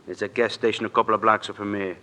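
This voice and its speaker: An actor playing a surly, dim gangster henchman in a radio drama, with gruff, slangy, ungrammatical talk. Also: lowish voice, level tone, quick pace, close to an everyday voice. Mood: irritated, bossy. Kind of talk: 1950s radio drama acting. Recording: noisy old radio recording.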